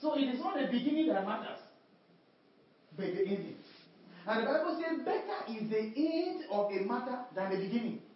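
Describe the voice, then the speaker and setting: A woman's voice: a little high, big pitch swings, fairly quick, slight background noise. A woman preaching a sermon, repeating emphatic refrains and calling out to the congregation. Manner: repetitive call-and-response preaching, emphatic refrains. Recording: echoey hall, distant mic, dull sound.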